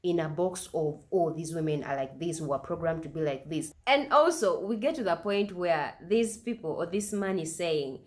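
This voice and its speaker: A woman's voice: lowish voice, lively intonation, normal speed, few pauses, a little mellow. A woman hosting a reaction video, giving opinionated commentary and firing off incredulous rhetorical questions. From Uganda.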